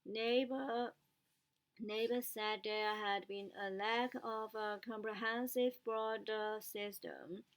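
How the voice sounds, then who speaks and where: A woman who is a native Chinese speaker learning English, reading news aloud haltingly and repeating words to practise. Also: medium pitch, steady tone.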